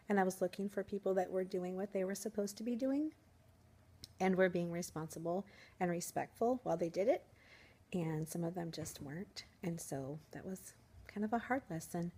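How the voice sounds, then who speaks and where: Young woman teacher, casual vlog delivery, slightly hoarse, chatty and upbeat, lower pitch. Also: a little mellow. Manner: chatty, upbeat, rambling with fillers. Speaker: first grade teacher, vlogger. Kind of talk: casual vlog chat to camera.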